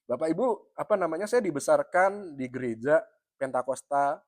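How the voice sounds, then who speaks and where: A man's voice: on the high side, lively intonation, normal speed, no room sound, studio quality. Javanese-accented man preaching conversationally. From East Java, Indonesia. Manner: conversational, tags nearly every phrase with "ya"; addresses the congregation often. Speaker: pastor. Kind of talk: sermon.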